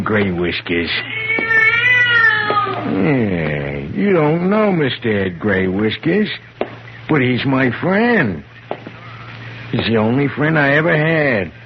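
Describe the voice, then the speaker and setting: A man's voice: fairly low pitch, full character voice. An American actor playing a simple, timid, devoted night watchman in a radio drama, speaking in a hesitant, childlike, plain way. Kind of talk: 1950s radio drama acting. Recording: noisy, muffled vintage radio recording.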